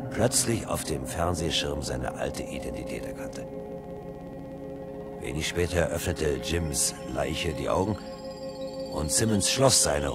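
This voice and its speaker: An actor playing the first-person narrator of a science fiction radio play, in measured, deliberate scripted narration. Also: male voice, a bass voice. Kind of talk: scripted narration, 1970s German radio drama. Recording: electronic music under the voice. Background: electronic music.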